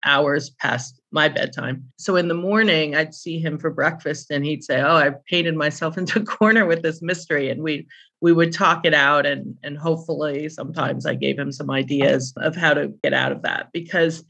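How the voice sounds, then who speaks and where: A woman's voice: low voice, moderate pace, gravelly, fairly bright tone. A middle-aged or older woman speaking as an interview guest, reminiscing in an anecdotal, conversational way. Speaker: interview guest, author and publisher.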